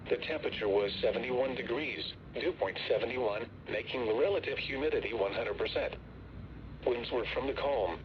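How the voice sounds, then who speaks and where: A man announcing a scripted weather bulletin in a formal, impersonal, stilted synthetic voice, phrase by short phrase. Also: mid-range voice. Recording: muffled, clearly noisy weather radio broadcast.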